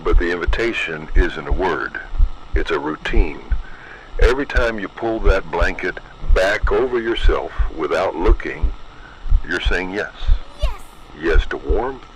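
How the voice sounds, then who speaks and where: A man reading a scripted horror narration in an ominous, deliberate manner, addressing the listener directly. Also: low voice, animated, a bit bright, slight background noise, poor audio.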